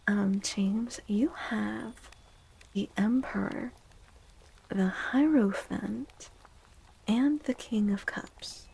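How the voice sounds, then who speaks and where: A woman hosting a soft-spoken ASMR tarot stream, talking gently and breathily, often near a whisper, with drawn-out delivery. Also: mid-range voice, vocal fry, husky, low-quality recording. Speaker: livestream host giving tarot readings.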